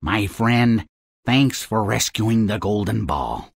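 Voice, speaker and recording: A man's voice: fairly low pitch, animated, slow, vocal fry, breathy edge, no room sound, studio quality, exaggerated voice. A man voicing a courteous, grateful elder king in a fairy-tale video game, formal and stately.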